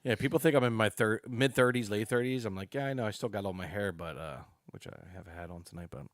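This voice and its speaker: A man's voice: on the low side, fast talker, no room sound, studio quality. A man in his late forties, a podcast guest chatting casually and animatedly, full of "you know" and "dude". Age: 40s. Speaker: podcast guest, snake breeder.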